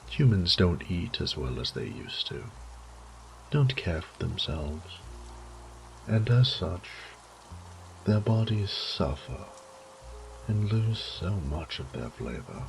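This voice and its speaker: A man playing a sadistic, condescending vampire lord in a roleplay audio drama, theatrical and taunting, with breathy whispered phrases. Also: deep voice, very animated, takes it slowly, long pauses, a little hiss or hum, exaggerated voice, poor audio. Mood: cold, savoring.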